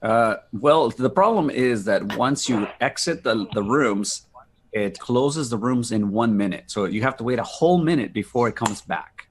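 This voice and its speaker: A man's voice: mid-range voice, lively intonation, normal speed, a little hiss or hum. A man talking casually through a technical demo on a video call, using earbuds. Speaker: co-host demonstrating video meeting features.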